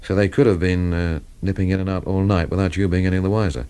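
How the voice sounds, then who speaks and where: An actor playing a weary, sardonic amateur investigator in a radio mystery, narrating and questioning in a dry, wry way. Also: male voice, deep voice, steady tone, fast, mellow, smooth, natural voice. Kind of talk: radio mystery drama acting and noir narration.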